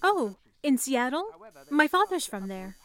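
An actress playing a polite, earnest young telephone operator in an old-time radio drama, soothing and composed in manner. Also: female voice, high voice, very animated, unhurried, fairly bright tone, exaggerated voice. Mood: friendly. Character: young 1930s telephone operator, calm, earnest woman saving for college.

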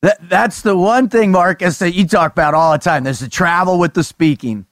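An excitable man hosts a podcast, stacking up emphatic lines and showman flourishes. His voice sits high for a man, and the sound is close and dry. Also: moderate pace, no echo. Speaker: podcast host. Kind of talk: energetic podcast banter.

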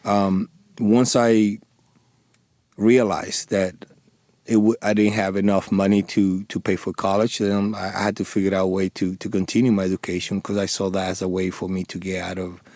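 Dominican guy w thick spanish accent, low monotone, lots of restarts, dry sound. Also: no room sound. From Dominican Republic. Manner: halting, frequent restarts and fillers, earnest. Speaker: interview guest, former police sergeant. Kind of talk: radio interview.

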